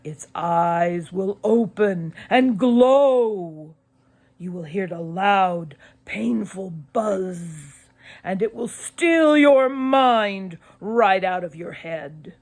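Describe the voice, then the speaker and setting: Casting: theatrical father played by a woman, relishes creepy tales, animated pitch, drawn-out delivery, children's audiobook. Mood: ominous, relishing. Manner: dramatic, drawn-out storytelling. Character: showman-like dad relishing creepy tales of curiosities.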